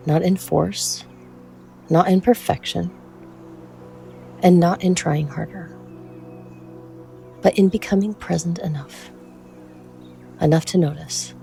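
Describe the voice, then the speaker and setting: Meditation guide, mellow and gravelly, soft delivery, soothing and unhurried phrasing, music bed underneath. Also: mid-range voice, fairly even intonation. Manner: soothing, measured, with frequent pauses.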